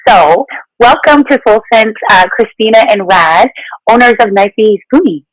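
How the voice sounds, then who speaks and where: A woman's voice: on the high side, lively intonation, normal speed, no room sound, low-quality recording. Effusive woman hosting, close dry sound. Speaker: podcast host. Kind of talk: casual conversational interview.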